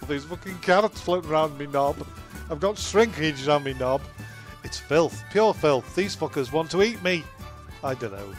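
A man with a high-pitched voice performs improvised comedy. He whinges and swears in rambling protest, with faint music behind him. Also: lively intonation, moderate pace. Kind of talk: improvised comedy role-play.

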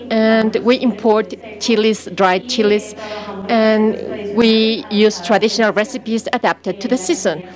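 Woman interpreting live in halting phrases. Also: medium pitch. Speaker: simultaneous interpreter. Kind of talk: live interpreting of a conference talk, in short phrases.

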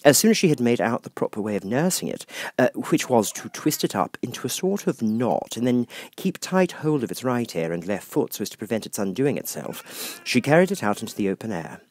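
A man reading a children's storybook as an audiobook narrator, in expressive scripted narration. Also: medium pitch, a little fast.

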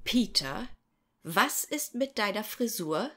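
Woman playing a husky, snippy young assistant. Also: medium pitch, very animated, slow pace, fairly bright tone, full character voice. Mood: annoyed. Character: snippy, annoyed young female student assistant. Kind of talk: parody detective radio play.

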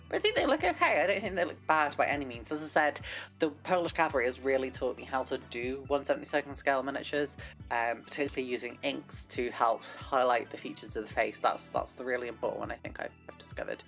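A woman hosting a casual scale-model vlog, chatty and self-deprecating with lots of fillers. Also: a bass voice. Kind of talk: casual hobby vlog with read history segment.

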